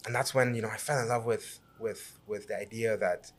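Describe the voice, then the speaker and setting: Low-voiced man telling his story. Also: brisk. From Guyana. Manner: animated storyteller with frequent restarts and repeated words. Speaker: guest, businessman and city councillor. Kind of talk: conversational podcast interview.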